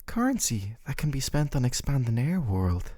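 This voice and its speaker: A man playing a gentle but obsessive, possessive dating-sim love interest in an intimate, tender, coaxing ASMR roleplay. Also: medium pitch, sing-song, moderate pace, no echo, studio quality. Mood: mildly jealous.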